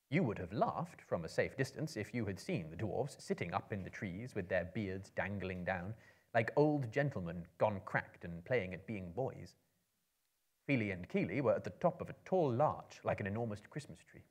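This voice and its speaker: Man in his thirties, storyteller, lowish husky voice, theatrical, measured phrasing, fantasy narration. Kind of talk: dramatic reading of a fantasy novel to a live audience. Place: book-lined room with a live audience.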